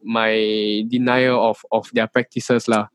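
A man's voice: lowish voice, flat delivery, slow, no echo. A young man with a Singaporean English accent, talking as an interview guest in a hesitant way, with frequent restarts and fillers. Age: young adult. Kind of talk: casual interview chat.

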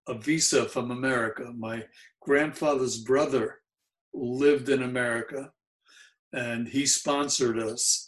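Older man in his 70s, New York accent, Yiddish native, mellow and halting, slow-paced, mid-pitched, for an oral history interviewee. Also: rough recording. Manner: mellow, unhurried, halting with frequent fillers.

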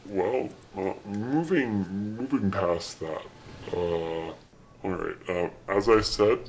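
Audio drama manager, male, played by a man: deep, crawling delivery, bemused, halting, stumbling. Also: slight background noise, low-quality recording. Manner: hesitant, drawn-out, many stumbles. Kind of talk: audio drama character dialogue.

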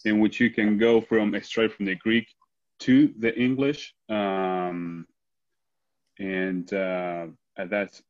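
A man from Argentina with an Argentine Spanish accent, joining a class discussion over a call, speaking hesitantly with many pauses. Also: lowish voice, steady tone. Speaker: class participant. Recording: muffled, lo-fi.

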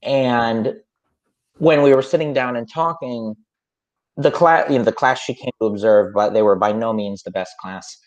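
A man's voice: mid-range voice, moderate pace, dull audio. A man who teaches music, chatting casually on a podcast with a slightly nasal voice and a hesitant, rambling way of talking full of fillers and restarts.

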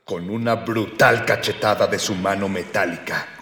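A man's voice: lowish voice, steady tone, normal speed, a bit bright, close to an everyday voice. An actor playing a florid ex-policeman who narrates a radio serial in a grandiloquent, mock-archaic manner. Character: florid ex-policeman narrator, male companion of the hero. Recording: reverberant, music underneath.

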